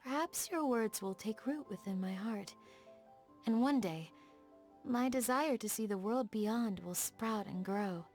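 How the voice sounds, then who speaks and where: A woman voicing a gentle, dutiful young desert village guardian in a video game, speaking in a soft, measured, courteous way. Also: fairly high pitch, faint music behind, close to an everyday voice. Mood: calm, welcoming. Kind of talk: scripted game dialogue, storytelling.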